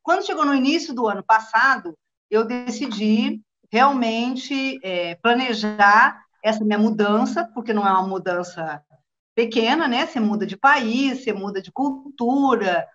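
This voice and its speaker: A middle-aged Brazilian woman speaks as a guest in an online interview. Her voice is fairly high and she tells her own story casually and conversationally. The call audio is dull and lo-fi. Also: moderate pace, a bit bright. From Rio Grande do Sul, southern Brazil. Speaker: guest, journalist. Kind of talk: casual personal account in an interview.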